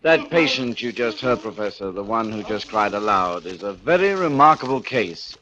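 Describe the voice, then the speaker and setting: An actor playing a composed, precise asylum doctor in a 1940s radio drama. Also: male voice, on the low side, expressive, normal speed, a crowd in the background, close to an everyday voice. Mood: composed, clinical. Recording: dull, lightly noisy vintage radio recording.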